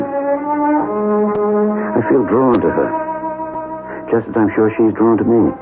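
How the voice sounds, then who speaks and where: An actor playing a troubled, courteous chemist in a 1970s radio drama, with a courtly, earnest, theatrical delivery. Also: male voice, on the low side, heavily acted. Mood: brooding, anguished. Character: troubled, courteous male chemist haunted by his past. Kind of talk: 1970s radio drama acting with brooding monologue. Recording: dull vintage radio recording, music behind.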